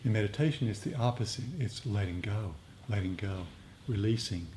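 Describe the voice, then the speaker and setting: A man speaking as a meditation teacher in a reflective talk, with unhurried, repetitive phrasing, recorded live at a group meeting. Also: on the low side, darkish tone. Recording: noisy live room recording.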